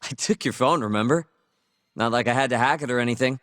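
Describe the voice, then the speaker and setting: A man's voice: mid-range voice, quick pace, a bit bright, no echo, clean studio sound, lightly acted. An actor playing a cool, self-assured young male hacker in a naturalistic video game scene. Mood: confident. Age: young adult.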